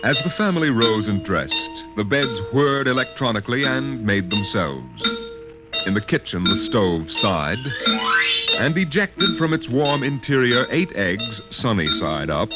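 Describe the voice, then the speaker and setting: A man narrating a scripted story dramatically, in a measured literary storytelling manner. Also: mid-range voice, big pitch swings, loud music behind the voice.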